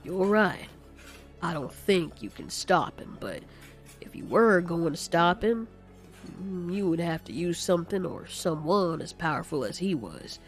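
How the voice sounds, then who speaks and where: A man voicing a calm, confiding male monster who runs a restaurant, casual and measured, in an amateur audio story. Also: fairly high pitch, faint music behind. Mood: thoughtful, friendly.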